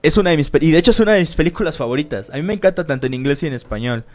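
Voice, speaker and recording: A man's voice: a little high, expressive, racing, darkish tone, faint music behind. Slangy man in casual chat. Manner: slangy and swearing, with many fillers.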